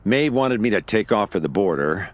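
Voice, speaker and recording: An actor playing a calm, level-headed investigator who also narrates an audio drama, with a measured delivery. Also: male voice, lowish voice, no echo, lightly acted. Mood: narrating, reflective.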